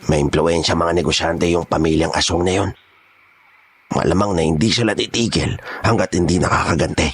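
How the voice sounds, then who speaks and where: A man playing a gruff, blunt but protective older man in a horror audio drama. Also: sits low, steady tone, brisk. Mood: calm warning.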